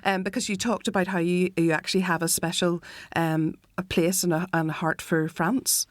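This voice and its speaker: A woman radio co-host who asks interview questions in a measured, soft-spoken way. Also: lowish voice, level tone, no echo, clean studio sound. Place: radio studio.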